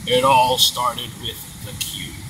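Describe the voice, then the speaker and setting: A man doing an impression of a giant heroic robot leader, slow and raspy, recorded outdoors on a phone with traffic behind. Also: medium pitch, completely flat delivery, natural voice. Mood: commanding. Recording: distant phone mic outdoors with traffic noise.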